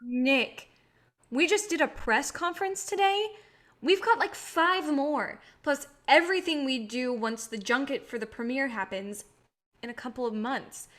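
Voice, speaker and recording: A high, sharp-edged voice for an exasperated woman on a film team , as an actress plays her. She is level-headed yet insistent and reproving, in a staged drama reading. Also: measured pace.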